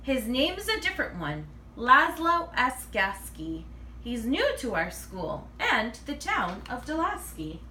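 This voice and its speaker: A woman reading a storybook voices a shrill, brisk schoolteacher who projects to her class. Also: sing-song, bright, forceful tone, exaggerated voice. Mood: brisk, welcoming. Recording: roomy, distant mic, faint noise.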